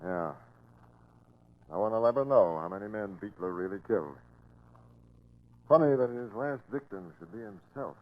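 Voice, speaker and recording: An actor playing a resolute police captain giving brisk, authoritative orders in a 1940s radio crime drama. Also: male voice, fairly low pitch, animated, natural voice. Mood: grim. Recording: muffled, noisy 1940s radio recording.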